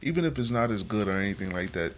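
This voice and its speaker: A man's voice: on the low side, lively intonation, fast, a little hiss or hum. A man who is a music artist, talking earnestly as a guest on a casual podcast and often checking in with his listener. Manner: earnest, repeats "you feel me".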